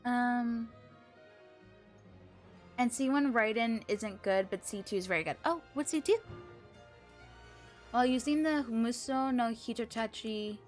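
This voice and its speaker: A woman live streaming a video game, chatting casually with viewers and thinking aloud in an expressive, exclamatory way. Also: a little high, a little slow, pauses often, faint music behind. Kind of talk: casual chat with stream viewers while gaming.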